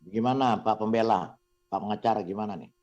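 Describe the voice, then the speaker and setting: A man's voice: on the low side, fairly quick, darkish tone, dry recording, lo-fi sound. A man bantering argumentatively in a debate, in short repeated interjections. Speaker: debate participant.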